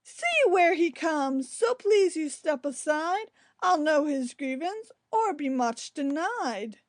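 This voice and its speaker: A woman playing a concerned, gentle young male cousin in a Shakespearean drama, with an earnest, deliberate delivery. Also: high-pitched, animated.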